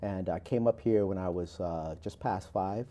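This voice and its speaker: A man in his forties from Barbados, an author and guest, giving measured, thoughtful interview answers with many filler pauses. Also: low-pitched, mellow, a little hiss or hum.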